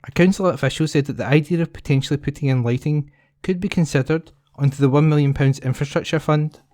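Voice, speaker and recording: A man's voice: fairly high pitch, quick pace, a little mellow, no echo, clean studio sound. A man reading local newspaper articles aloud as a volunteer. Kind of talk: scripted news reading for a talking newspaper.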